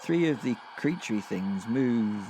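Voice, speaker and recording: A man's voice: mid-range voice, lively intonation, measured pace. Man playing a gleeful sadistic werewolf, noisy backdrop. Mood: fascinated, rambling. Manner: conversational, rambling, hesitant, darkly amused understatement. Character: gleeful, sadistic male werewolf killer marvelling at Hell. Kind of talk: first-person horror audio drama narration.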